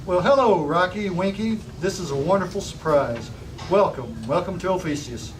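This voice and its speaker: A man's voice: sits high, expressive, moderate pace. A man reading the part of an effusive, vague scientist professor at a table read. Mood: delighted. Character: distracted, exuberant male scientist professor, oddly vague. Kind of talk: script table read of a sci-fi serial. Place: busy restaurant table, far camera. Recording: distant mic, noisy restaurant room.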